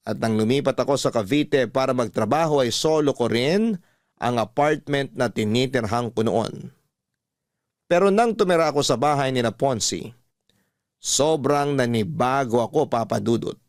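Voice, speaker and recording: A man's voice: mid-range voice, no room sound, studio quality. A man with a Filipino accent reading a scripted first-person love story as a radio-style narrator.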